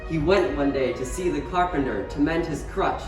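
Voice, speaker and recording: A low-voiced, ominous grim reaper of a ghost, played by a woman, declaims pantomime rhymes. The sound is distant and echoey, with clear music behind. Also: normal speed, natural voice. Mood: stern. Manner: ominous, declamatory, rhyming verse. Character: ghost of Christmas future, a grim reaper gatekeeper. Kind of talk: pantomime stage acting.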